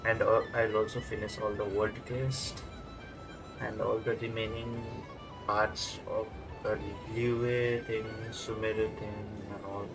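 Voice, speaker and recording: A man livestreaming a game, chatting casually to viewers in halting, short phrases. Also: fairly low pitch, flat delivery, rough recording. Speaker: game streamer. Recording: game music clearly behind voice.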